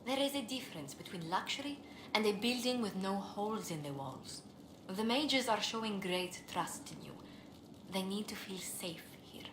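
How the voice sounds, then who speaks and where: A woman's voice: medium pitch, lively intonation, normal speed, background noise, lightly acted. Polite, refined female diplomat played by an actress, echoey. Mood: concerned. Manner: courteous, formal, elegant phrasing. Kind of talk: scripted video game dialogue.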